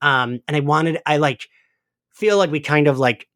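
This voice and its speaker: A man hosting a podcast in casual chat, with an animated, self-deprecating manner. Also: a little high, normal speed, dry recording, clean studio sound. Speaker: podcast host.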